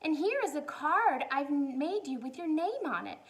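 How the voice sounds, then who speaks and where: A woman's voice: high-pitched, sing-song, moderate pace, pitched above the performer's own voice. A woman voicing an earnest, affectionate young girl in a storybook, eager and fondly chiding. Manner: eager, fond, gently chiding.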